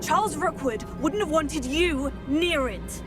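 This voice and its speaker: A woman's voice: sits high, unhurried, over music. An actress playing a resolute young female witch student in a video game, polite but firm. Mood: defiant.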